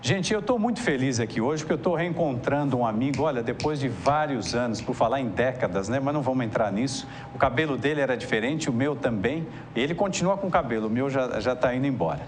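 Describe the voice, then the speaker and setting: A Brazilian man hosts a talk-show interview with very animated pitch. He is chatty and effusive as he puts questions to his guest. Clear noise sits in the recording. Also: quick pace, few pauses. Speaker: TV interviewer and host. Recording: clearly noisy TV interview sound.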